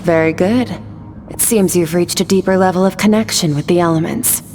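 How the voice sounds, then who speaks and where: Actress as calm lowish lady game mentor, serious teaching tone, big reverb. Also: close to an everyday voice. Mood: calm, approving. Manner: measured, instructive, solemn. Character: composed female warrior mentor guiding a student. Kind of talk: scripted video game dialogue. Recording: long reverb under music.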